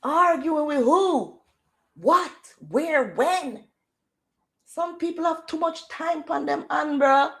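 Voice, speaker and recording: A Jamaican woman hosting a casual livestream chat in a loud, projecting voice, firing off rhetorical questions with easygoing slang. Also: high voice, expressive, slow pace. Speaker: livestream host.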